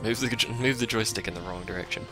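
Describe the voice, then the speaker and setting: Deep, gravelly man rambling over gameplay. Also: quick pace, music in the background. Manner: rambling, offhand, self-deprecating; chats with a live stream chat. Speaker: let's play streamer. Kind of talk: casual gameplay commentary.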